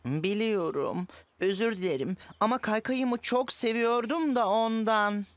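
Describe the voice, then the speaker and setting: A woman's voice: a little high, measured pace, no room sound, lightly acted. A woman voicing a young, whiny, easily panicked schoolboy in a children's cartoon. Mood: sheepish. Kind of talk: animated children's cartoon dub.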